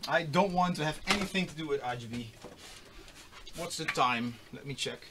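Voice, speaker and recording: A man's voice: fairly high pitch, normal speed, heavy background noise. A middle-aged man with a Dutch accent, chatting casually and rambling with many fillers while building a computer. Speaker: host.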